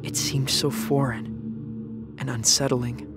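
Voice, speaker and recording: A grave young male survivor played by an actor recounts his ordeal for a drama podcast. His mid-pitched voice stays even and measured, like a written journal read aloud, over a clear music bed. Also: natural voice. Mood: grave, reflective. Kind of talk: scripted first-person dramatic narration.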